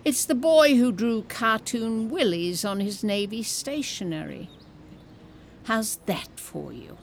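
A woman's voice: fairly high pitch, animated, slow pace, creaky, a little hiss or hum, full character voice. A woman playing a cantankerous, bawdy, nosy old woman in a comedic audio drama, blunt, wry and grumbling.

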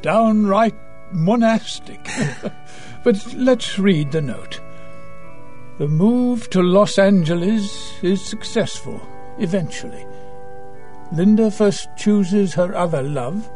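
High-voiced, measured, kindly priest, played by a man. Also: lively intonation, a little mellow, faint music behind. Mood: gently amused. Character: gentle, wryly skeptical priest, kindly male confidant. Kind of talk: audio drama acting.

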